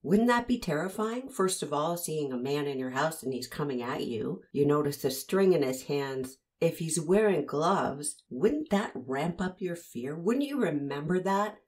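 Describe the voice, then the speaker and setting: A woman's voice: deep voice, animated, measured pace, few pauses, darkish tone. A woman hosting a commentary, analyzing an interview and pressing the listener with pointed rhetorical questions. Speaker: host analyzing interview footage.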